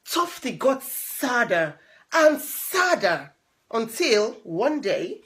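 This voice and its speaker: A woman reading a children's picture book aloud in an expressive, dramatic way, with little asides to the listeners. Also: fairly high pitch. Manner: dramatic, animated, with drawn-out repeated words.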